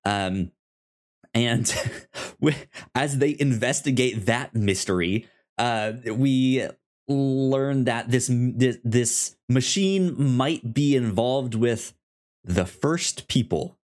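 A man's voice: mid-range voice, slow, a little mellow. A man co-hosting a podcast, casually recapping a show and often rephrasing himself as he explains. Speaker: podcast co-host.

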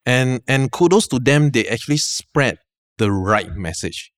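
Animated, smooth-voiced man telling stories. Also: medium pitch, a little slow, no room sound, clean studio sound. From Thailand. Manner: animated storytelling, acts out quoted people, many restarts. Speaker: guest film director. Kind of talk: casual podcast chat.

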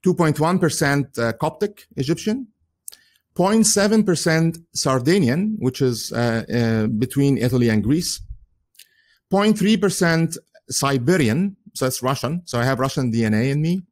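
A man's voice: a little high, animated, a little slow, darkish tone. A man whose first language is Arabic, giving an earnest solo commentary in English with frequent chuckles and many "uh"s and restarts.

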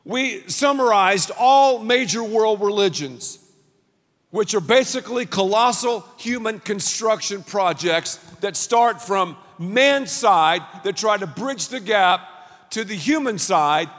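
A middle-aged man preaching a sermon as pastor, telling stories with animated delivery and shouting out acted-out lines. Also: high voice, takes it slowly, long reverb tail.

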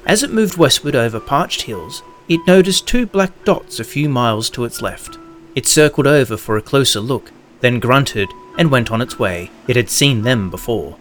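A man's voice: mid-range voice, lively intonation, moderate pace, a bit bright. Male story narrator over music. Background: background music.

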